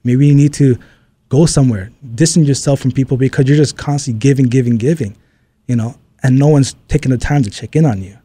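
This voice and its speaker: Male guest from Hawaii; mellow, dry and close, thoughtful and searching. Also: medium pitch, fast, breathy edge, dry recording. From Oʻahu, Hawaii. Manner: thoughtful, searching, repeats phrases. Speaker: guest, strength and conditioning coach. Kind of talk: reflective interview answers.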